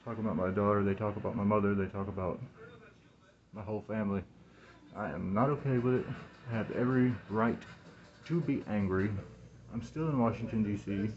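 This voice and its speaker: A man in his thirties in a rambling, halting monologue, repeating words and phrases, recorded on a phone indoors through a cloth mask. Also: fairly low pitch, highs cut off. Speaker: vlogger talking to camera. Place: small room indoors. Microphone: phone at arm's length, speaking through cloth mask.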